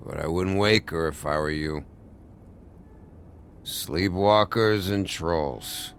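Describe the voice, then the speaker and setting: A male actor in a video game playing a bitter, drunk, foul-mouthed man, sarcastic and volatile, with a gravelly voice. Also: sits low, takes it slowly, slight background noise. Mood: weary, slurring. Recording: music under the voice.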